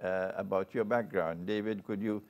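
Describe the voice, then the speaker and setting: Older trini guy hosting an interview show, lowish voice, formal n deliberate, takes his time w phrases. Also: fairly even intonation. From Trinidad and Tobago. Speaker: talk show host. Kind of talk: formal guest introduction and interview.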